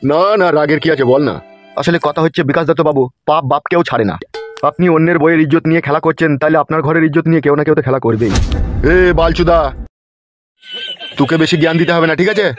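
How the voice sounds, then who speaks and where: Cartoon official, male dubber: slightly high, quick, coarse and argumentative, dull lo-fi sound. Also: lightly acted. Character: foul-mouthed, quarrelsome cartoon politician and bribe-taking policeman. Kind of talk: comedy cartoon dubbing. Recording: dull lo-fi audio.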